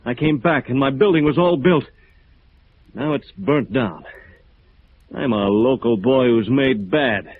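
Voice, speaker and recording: A man's voice: mid-range voice, natural voice. Actor as battered, bitter man, tinny radio recording. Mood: weary, ironic. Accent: American. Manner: halting, weary, bitterly ironic. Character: battered, bitter, dazed local architect, a beaten man. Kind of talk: 1950s American radio drama acting. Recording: muffled narrow-band vintage radio recording.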